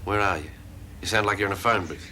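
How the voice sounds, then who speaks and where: An actor playing a steady, reassuring man. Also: low voice, quick pace, natural voice. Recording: hiss on old TV audio.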